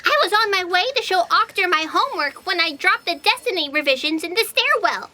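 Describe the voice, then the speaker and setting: A guilt-ridden young female intern in a comic audio drama. Her extremely high, bright voice is plaintive and earnest, pleading with melodramatic worry. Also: expressive, a little fast, exaggerated voice. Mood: apologetic.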